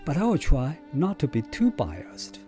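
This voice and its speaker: Lively male professor. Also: on the high side, unhurried, soft background music. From China. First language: Chinese. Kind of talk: scripted lecture.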